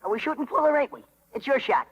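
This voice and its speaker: A man's voice: very high-pitched, fast. An actor playing a cocky, sneering, streetwise delinquent boy in slangy 1930s radio style. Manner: slangy, streetwise wise guy. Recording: dull vintage 1939 radio broadcast.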